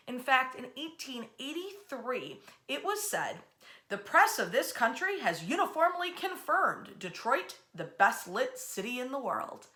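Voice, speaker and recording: A woman with a fairly high, very bright voice narrates history as if it were a bedtime story. She is an expressive storyteller who talks straight to the listener as host.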